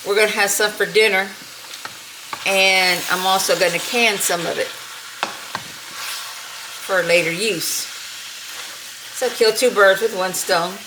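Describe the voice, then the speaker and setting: A middle-aged woman hosting a casual home cooking video, talking in an unhurried, homey way while she cooks, with frequent fillers. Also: medium pitch, noisy background. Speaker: cooking channel host. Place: home kitchen. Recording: home kitchen with sizzling pan. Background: frying and sizzling.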